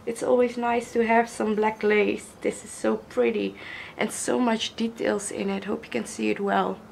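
A young woman, hoarse from a cold, gushing slowly over craft gifts while filming at a table with the camera mic. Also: a little high, little pitch movement, a little mellow, a little hiss or hum, low-quality recording. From Netherlands. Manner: gushing, slow, admiring, repeats praise. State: hoarse from illness. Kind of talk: casual unboxing vlog. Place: indoors at a wooden table.

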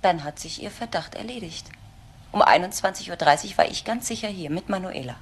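An actress playing an earnest, idealistic social worker in a crime radio drama, protective and insistent. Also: female voice, lowish voice, animated, brisk, a little hiss or hum. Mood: firm, defensive.

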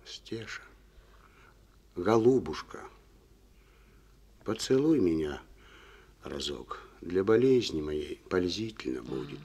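A man's voice: sits low, sing-song, slow pace, long pauses, a little mellow, slightly rough, full character voice. An actor playing a stubborn aging farm chairman in a Soviet radio play, coaxing and folksy as he woos a woman. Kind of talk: 1950s radio play acting.

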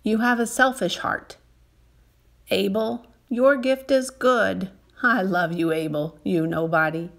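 Brief: a woman playing God, male, a stern scolding father in a storybook, slow and deliberate. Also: mid-range voice, steady tone, gravelly, a bit bright.

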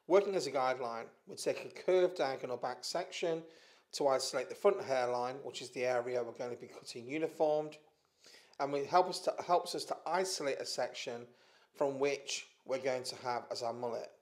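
A man with a British accent calmly narrating a step-by-step hairdressing tutorial. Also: medium pitch, moderate pace. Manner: calm, methodical instruction with small restarts. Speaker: hairdressing educator. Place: studio with a plain grey backdrop.

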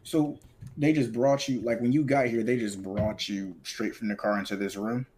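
A man's voice: medium pitch, steady tone, moderate pace, darkish tone, a little hiss or hum. A man playing a police officer in game roleplay, asking questions in an informal, polite, procedural way. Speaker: player acting as police officer.

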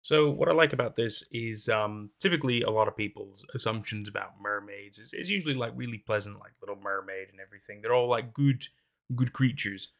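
A man's voice: fairly low pitch, brisk, vocal fry, a bit bright. A young man narrating a horror story, then chatting casually with lots of fillers and restarts, recorded in a small room at a desk. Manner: rambling, hesitant with many fillers in commentary. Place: small room in front of green screen.

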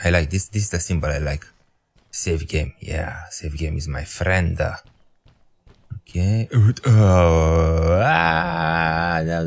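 A man's voice: sits low, gravelly. A young man whose first language is Romanian, casually commenting on a game, thinking aloud, joking about his own intellect and pleading with the game. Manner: self-mocking, talks to the game, thinks aloud. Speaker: gameplay commentator.

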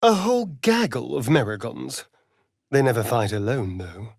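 An actor playing a sardonic, flirtatious vampire rogue in a fantasy video game, with an arch, theatrical, drawling delivery and sly asides. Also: male voice, mid-range voice, expressive, moderate pace, gravelly, a little mellow, no room sound, studio quality. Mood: dry, watchful. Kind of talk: video game character voice acting.

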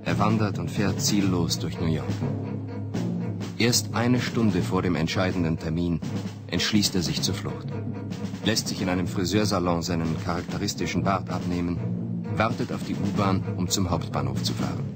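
A man's voice: sits low, moderate pace, over loud music. A man giving sober, matter-of-fact linking narration in a radio play. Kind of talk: scripted documentary-style narration.

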